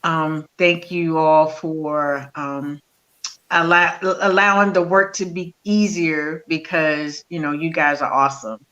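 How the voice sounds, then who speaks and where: An older woman from a stage play cast giving hesitant thanks as a talk show guest, pausing often with ums. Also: low-pitched, little pitch movement, a bit bright, highs cut off. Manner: slow, hesitant, with many ums.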